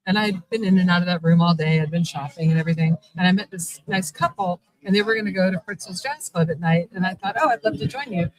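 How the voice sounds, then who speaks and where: A woman's voice: lowish voice, low-quality recording. A middle-aged woman, a shop owner, telling a long rambling story at a convention interview. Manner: chatty, rambling, many restarts. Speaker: guest, vampire shop owner. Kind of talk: casual storytelling in a live interview. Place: convention event.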